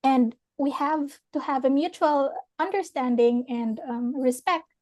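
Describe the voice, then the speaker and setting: A young woman from the Philippines with a Philippine English accent, hosting a webinar and reflecting thoughtfully with frequent pauses and restarts. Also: high voice, a little mellow, no echo. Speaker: webinar host. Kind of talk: reflective chat with a guest.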